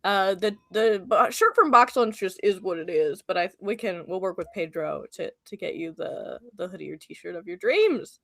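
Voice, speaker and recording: A woman with a mid-range voice co-hosts a casual livestream from home. She rambles in a friendly way and fills her pauses with ums and uhs. The sound is dry, with no room echo. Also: mid-range voice, expressive, creaky, a bit bright. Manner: rambling and chatty, full of fillers and laughs. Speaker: livestream co-host.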